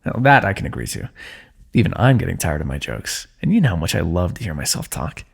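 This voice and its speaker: A man performing an intimate fantasy roleplay for ASMR, speaking softly in a teasing, affectionate way. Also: sits low, big pitch swings, fast, vocal fry, breathy edge. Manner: soft, teasing and affectionate, with frequent light laughs.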